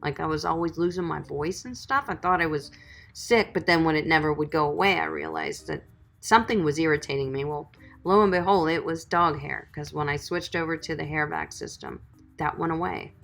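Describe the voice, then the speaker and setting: Chatty woman with a gravelly, low voice. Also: fairly quick, fairly bright tone, soft background music. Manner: chatty, encouraging, calls viewers sweetie and guys. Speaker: dog groomer. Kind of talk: livestream chat reading viewer comments.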